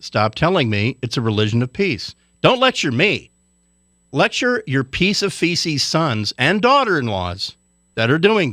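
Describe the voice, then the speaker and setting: Lively, bright-voiced, indignant male commentator. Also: fairly high pitch, dry recording, clean studio sound. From Hawaii. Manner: indignant, lecturing, forceful. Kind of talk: radio commentary rant.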